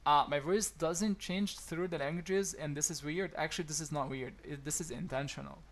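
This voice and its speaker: A man's voice: fairly high pitch, moderate pace. A man from Egypt with an accent between Egyptian and American, chatting casually to viewers about daily routines, with many restarts and fillers. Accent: Egyptian-influenced English, deliberately between Egyptian and American. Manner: hesitant, many restarts and ums, chuckles often. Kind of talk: casual teaching talk to viewers. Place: home apartment.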